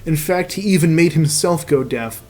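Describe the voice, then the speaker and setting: Higher-voiced man, profane comic reader. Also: expressive, normal speed. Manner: profane, mocking. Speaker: host reading fan fiction aloud. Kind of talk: comic dramatic reading with casual asides.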